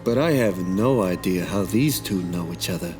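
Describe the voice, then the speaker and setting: Man acting a commanding sarcastic rogue, lively pitch. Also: moderate pace, soft background music. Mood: stern, guarded. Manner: curt orders, dry sarcasm. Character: gruff, commanding, sarcastic male sci-fi rogue leader. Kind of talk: visual novel fan dub acting.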